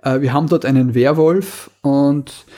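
Man, halting, mid-pitched podcast co-host. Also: mellow. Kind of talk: casual podcast chat.

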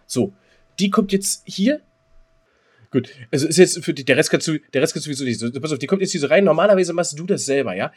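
A man with a husky, fairly high voice. He chats casually as a podcast co-host, excitable and repeating himself as he explains things. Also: fast talker. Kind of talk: casual chat.

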